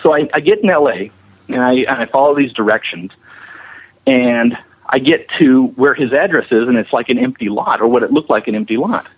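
Husky man chatting, muffled line. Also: medium pitch, fairly quick. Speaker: visual effects animator being interviewed. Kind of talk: casual anecdotal interview storytelling. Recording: muffled band-limited radio-like line.

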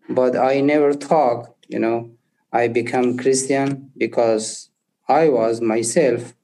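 A young man from Afghanistan, not an English speaker, telling his life story haltingly and searching for words. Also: medium pitch, warm tone, rough recording. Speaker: interview guest. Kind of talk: personal testimony.

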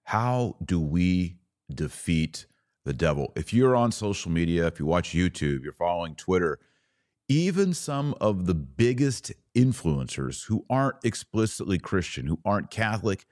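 A man hosting a religious podcast, explaining and reading scripture in an earnest, emphatic way with deliberate phrasing. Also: low-pitched, big pitch swings, a little mellow, no room sound, studio quality. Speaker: podcast host and author.

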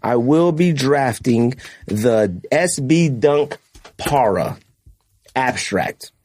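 A man's voice: mid-range voice, lively intonation, very slow, a little mellow, slight background noise. A man hosting a casual podcast, joking and laughing often as he banters with friends, with slangy talk. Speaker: podcast host.

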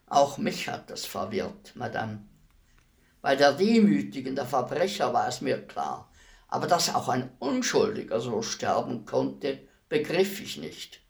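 Slow, gravelly, solemn executioner. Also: male voice, medium pitch, sing-song. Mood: reflective, solemn. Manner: formal, measured, deliberate delivery. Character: solemn, courteous executioner, humbled and reflective. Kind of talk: German radio play acting.

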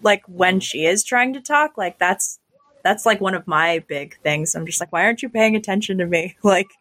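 Woman guest on a movie podcast, medium pitch, casual chat, really dry audio. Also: normal speed, fairly bright tone, no echo. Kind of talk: casual film discussion.

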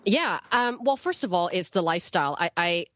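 A woman's voice: lowish voice, expressive, a little fast, fairly bright tone, no echo, studio quality. A woman from Malaysia and Canada, chatting casually as an interview guest over a video call, with lots of fillers and restarts. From born in Malaysia, raised in Canada. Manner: chatty, frequent fillers and restarts, laughs easily. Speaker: podcast guest, entrepreneur. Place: home cottage.

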